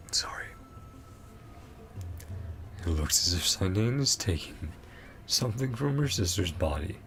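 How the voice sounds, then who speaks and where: A man giving quiet, casual reaction commentary as the host of a gaming video, in a low voice.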